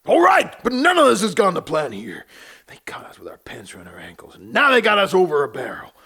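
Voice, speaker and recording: Gravelly, swooping Old West sheriff. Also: male voice, high voice, huge pitch swings, a little fast, pushed and bright, heavily acted. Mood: tense, commanding. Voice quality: raspy, gravelly. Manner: barking orders, exasperated. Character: gruff, weary Old West sheriff commanding a gunfight. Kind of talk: western audio drama acting.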